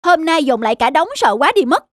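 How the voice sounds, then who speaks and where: A woman voicing a haughty, irritable young female neighbour in a cartoon, sharp and complaining. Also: high voice, lively intonation, a little fast, dry recording, clean studio sound. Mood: annoyed. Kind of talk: cartoon moral-drama dubbing.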